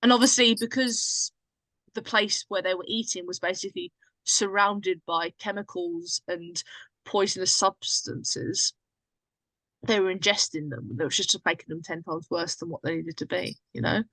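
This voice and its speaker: Female panel guest, lower register, informal and opinionated, emphatic delivery, dry recording. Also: moderate pace, pushed and bright, dry recording, poor audio. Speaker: podcast guest. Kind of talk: casual opinionated chat.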